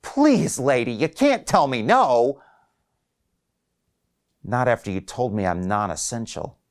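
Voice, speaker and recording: A man voicing an indignant, desperate older man with a blustering, halting delivery in a short story. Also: mid-range voice, animated. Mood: hesitant, pleading. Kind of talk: acted short story dialogue.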